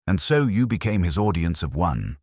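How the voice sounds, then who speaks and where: A man voicing a grave, incisive gentleman detective in an audiobook, deliberate and commanding. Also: deep voice, sing-song, dry recording, studio quality. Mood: grave, stern. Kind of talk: audiobook character acting.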